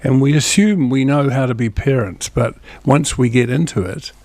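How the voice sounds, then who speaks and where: A man's voice: mid-range voice, normal speed, a little mellow, no room sound. A man with a New Zealand accent hosting a local TV show from a studio. He reads out event dates, venues and phone numbers in a plain, friendly way and adds small asides. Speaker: community TV host. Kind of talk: reading local event listings, casual chat.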